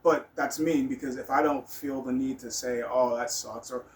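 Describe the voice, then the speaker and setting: A man's voice: mid-range voice, steady tone, moderate pace. Man rambling candidly, noisy recording. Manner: blunt, candid, swearing. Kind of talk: casual personal storytelling, rambling monologue. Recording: noticeable background noise.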